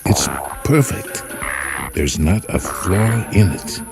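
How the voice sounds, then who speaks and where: A man voicing an anxious, excitable fiction writer who muses emphatically to himself in a dramatic radio story. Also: fairly low pitch, takes it slowly, voice pushed up. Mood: triumphant. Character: frustrated male fiction writer thinking aloud, excitable, anxious. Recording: music behind the voice.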